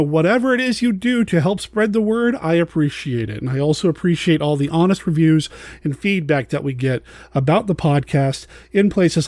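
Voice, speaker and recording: A man with a fairly high voice chats as a podcast host, conversational and informal. The sound is dry and close, with no room to it. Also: a little mellow, no room sound. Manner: chatty, conversational. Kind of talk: casual podcast sign-off.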